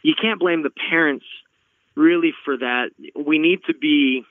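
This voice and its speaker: Talkative middle-aged host on a thin line. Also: male voice, a little high, measured pace, dry recording, narrow, tinny audio. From Los Angeles, California. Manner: talkative, earnest, repeats words when starting sentences. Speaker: podcast host. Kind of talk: casual conversational chat.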